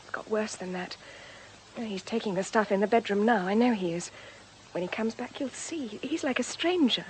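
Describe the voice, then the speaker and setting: Young female lead played by an actress, radio drama: anxious, protective, hesitant, medium pitch, slightly noisy recording. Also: normal speed, close to an everyday voice. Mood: anxious, confiding. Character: anxious young woman protecting her troubled lover.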